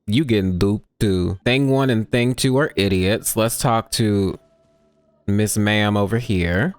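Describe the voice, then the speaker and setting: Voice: medium pitch, slow pace, clean tone, no echo. A game streamer giving casual playthrough commentary in a blunt, sardonic, sweary manner.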